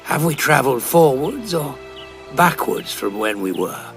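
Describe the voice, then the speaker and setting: An actor with a British accent playing a weary, indignant old Time Lord warrior in an audio drama, gravelly, dry and sarcastic. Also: male voice, mid-range voice, big pitch swings, a little slow, fairly bright tone, soft background music. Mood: wary. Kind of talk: full-cast audio drama acting.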